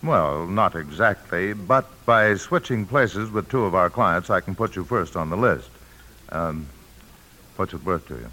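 A businesslike fixer in a vintage radio play. His voice is very low with a sharp, bright edge, and he insinuates his price after hesitant openings. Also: animated, measured pace, full character voice, low-quality recording. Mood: businesslike, sly. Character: businesslike, grim travel agent angling for a bribe. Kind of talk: 1950s radio drama acting.

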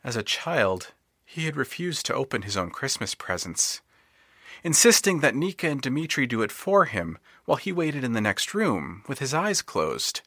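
A man narrating an audio drama in scripted, expressive storytelling, swelling with tension and dropping to hushed tones. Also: mid-range voice, moderate pace, no echo, clean studio sound.

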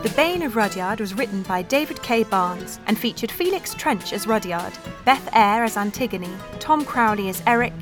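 A woman's voice: mid-range voice, animated, moderate pace, hardly any pauses. A woman reading scripted credits as an announcer. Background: prominent music.

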